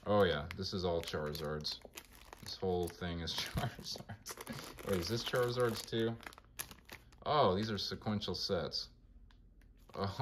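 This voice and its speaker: Low monotone guy rambling over cards. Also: noisy background. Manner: mumbling card names while flipping through them. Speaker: collector vlogger. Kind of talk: casual card collection show-and-tell.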